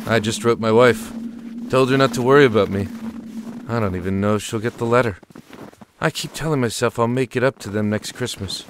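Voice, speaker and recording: An actor playing a weary, homesick soldier at the front in an audio drama, resigned and downcast. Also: male voice, mid-range voice, fairly quick, natural voice. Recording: clear background noise.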